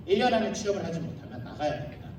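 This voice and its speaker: Fast-talking man presenting in an echoey room. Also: on the high side, big pitch swings. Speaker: school representative presenting at an admissions briefing. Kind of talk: formal slide presentation to a live audience. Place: large echoey room, live audience. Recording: roomy echoing hall, distant mic, clear noise.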